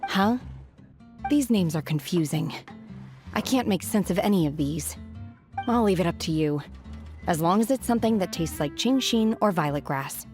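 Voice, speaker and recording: A woman voicing an aloof, earnest young hermit woman in a video game, speaking in a solemn, measured and literal-minded way. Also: fairly low pitch, lively intonation, darkish tone, faint music behind, natural voice. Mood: puzzled. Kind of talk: video game cutscene acting.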